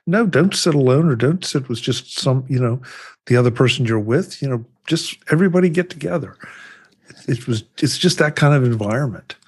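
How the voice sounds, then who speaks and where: A man's voice: medium pitch, lively intonation, fairly quick, slightly rough. A man hosting a panel chat in a friendly, upbeat conversational way. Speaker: show host.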